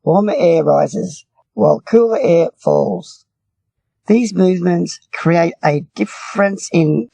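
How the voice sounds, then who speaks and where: A man reading English lesson passages and idioms aloud from a script, his voice husky and creaky. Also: fairly high pitch, warm tone, dry recording.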